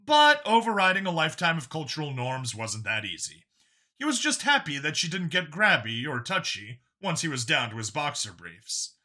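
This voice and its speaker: Audiobook narrator reading scifi story, bright forward voice, animated pitch. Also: moderate pace.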